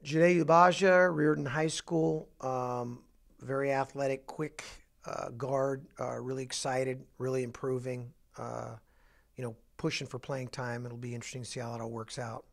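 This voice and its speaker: A middle-aged man, a basketball coach, talking through his roster in an office interview with a handheld mic, halting with fillers and restarts. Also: medium pitch, little pitch movement, creaky. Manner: halting, many fillers and restarts, upbeat emphasis on each name. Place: office, indoors.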